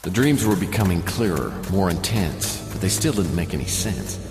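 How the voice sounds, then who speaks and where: Give this hardboiled private eye a low male voice with deadpan, sardonic weariness. He narrates a noir radio play in long reverb, with music clearly behind him. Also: moderate pace. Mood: wry, weary. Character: wry, world-weary hardboiled male private detective. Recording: roomy long reverb under loud music.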